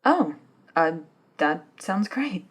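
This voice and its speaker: Woman acting soft, breathy, deferential female assistant. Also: medium pitch, sing-song, slow, exaggerated voice. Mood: eager, deferential. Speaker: voice actor in scripted ASMR roleplay. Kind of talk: soft-spoken ASMR roleplay acting.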